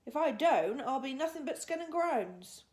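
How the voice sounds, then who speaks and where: A woman's voice: high-pitched, lively intonation, moderate pace, a little mellow, voice pushed up. A woman voicing a big, friendly old male giant from a children's storybook, speaking emphatically in mangled grammar with invented words. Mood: disgusted. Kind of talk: children's storybook character reading.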